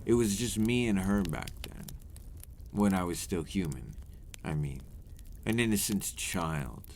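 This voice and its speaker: A man playing a weary, remorseful vampire captor in an intimate ASMR roleplay, confessing his past in measured, formal words. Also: sits low, animated, gravelly, a little mellow, slight background noise, full character voice. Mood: soft, guarded.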